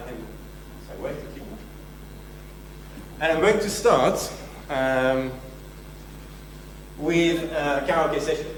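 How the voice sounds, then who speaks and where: A man with a French accent giving a conference keynote, joking and self-deprecating as he talks to the audience. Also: medium pitch. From France. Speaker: keynote speaker. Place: large conference venue. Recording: very echoey hall, distant mic, faint hum.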